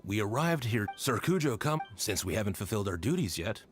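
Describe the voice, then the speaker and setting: An actor playing a formal, apologetic soldier on duty in a video game. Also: male voice, fairly low pitch, sing-song, fairly quick. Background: music.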